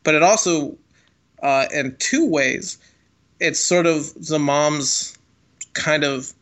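A man's voice: on the high side, slow pace, a bit bright. A man co-hosting a casual analytical chat, thinking aloud and often seeking agreement. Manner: thinking aloud, checking with "right?". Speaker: podcast co-host.

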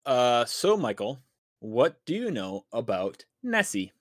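A man's voice: medium pitch, very animated, takes it slowly, no echo, clean studio sound. A man hosting a podcast, chatty and upbeat as he leads the casual talk. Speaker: podcast host. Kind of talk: casual chat.

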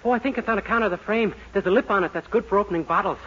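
A man's voice: sits high, racing, natural voice. An actor playing a naive, cheeky young American man with guileless delivery in a 1940s radio sitcom. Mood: innocent cheeky. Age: young adult. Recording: very noisy, dull 1940s radio recording.

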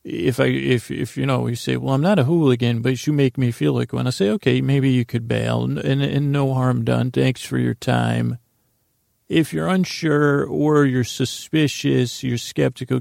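Gravelly rambling man, sleepy drawl. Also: medium pitch, darkish tone, no room sound, clean studio sound. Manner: meandering, halting, many restarts and drawn-out pauses. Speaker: sleep podcast host. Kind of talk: rambling bedtime monologue.

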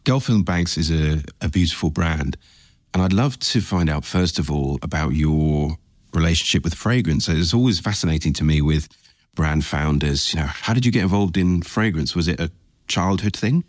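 A man's voice: very deep voice, expressive, moderate pace, no room sound, clean studio sound. A male host asking friendly, curious questions in an interview, often restarting his sentences. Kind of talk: conversational interview.

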